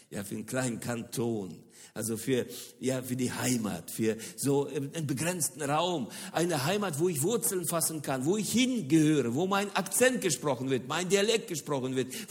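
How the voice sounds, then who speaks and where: A middle-aged man from the former Soviet Union delivers a lively lecture-style sermon with a very lively voice that leaps between high and low. He repeats words for emphasis and pauses with frequent hesitations. His voice rings out in a large hall with a long reverb tail. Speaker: speaker on church mission.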